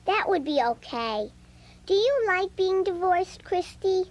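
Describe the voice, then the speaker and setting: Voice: extremely high pitch, big pitch swings, a little slow, lo-fi sound, heavily acted. A performer playing a chatty, candid five-year-old girl in an audio drama, childlike and eager. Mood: curious, candid.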